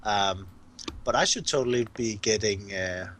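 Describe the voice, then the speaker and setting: A man from Denmark with a Danish accent, a game designer chatting casually and haltingly while playing a board game. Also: fairly low pitch, a bit bright, slight background noise.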